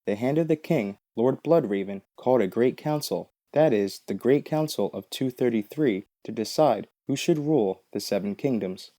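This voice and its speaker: Casting: male lore narrator, dark soft tone, medium pitch, factual and explanatory, scripted read. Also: lively intonation, normal speed, few pauses. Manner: explanatory, matter-of-fact.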